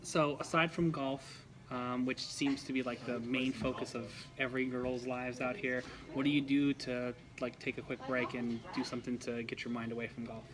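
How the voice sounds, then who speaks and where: A man's voice: mid-range voice, flat delivery, moderate pace, few pauses, a crowd in the background. A man hosting a golf podcast, asking rambling, casual questions with many fillers. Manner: chatty, filler-heavy questions. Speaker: podcast host, interviewer. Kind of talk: casual interview.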